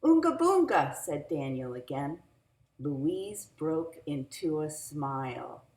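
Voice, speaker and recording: A woman reading a picture book aloud to young children in an animated, teacherly way, adding little asides. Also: sits low. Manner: animated, teacherly, drawn-out phrasing. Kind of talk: expressive read-aloud with asides to young listeners. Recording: roomy home recording with echo.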